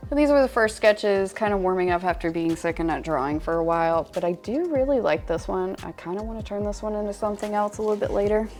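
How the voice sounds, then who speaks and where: A woman's voice: medium pitch, quick pace, hardly any pauses, a little mellow, soft background music. A young woman artist chatting casually in a vlog, a little nasally from a cold, with frequent ums and likes. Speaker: artist vlogger.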